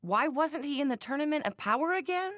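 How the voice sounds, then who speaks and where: A woman playing a prim, doting mother in a video game, with an expressive, fussy and emphatic way of talking. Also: high voice, a little fast. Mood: puzzled. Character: prim, doting mother, indignant about threats to her sons. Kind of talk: animated video game character dialogue.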